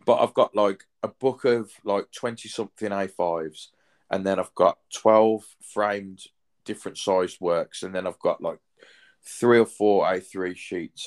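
A man's voice: sits low, a little mellow. A man, hosting a podcast in casual chat, rambling with lots of fillers and casual swearing. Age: 30s. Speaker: podcast host.

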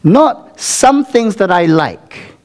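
High-voiced man preaching a sermon. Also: big pitch swings, slow, darkish tone. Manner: earnest preaching with call-and-response asides. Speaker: pastor.

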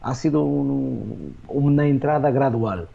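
A man, a native Portuguese speaker with a Portuguese accent, answering interview questions as a real estate agent in a halting way, with many restarts and fillers. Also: medium pitch, creaky, phone-quality sound.

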